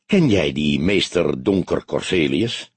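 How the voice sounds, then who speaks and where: Gruff, husky old detective played by a man. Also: very deep voice, animated, unhurried, no room sound, pitched below the performer's own voice, heavily acted. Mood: urgent. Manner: curt, probing questions. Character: grizzled older police detective, gruff and terse.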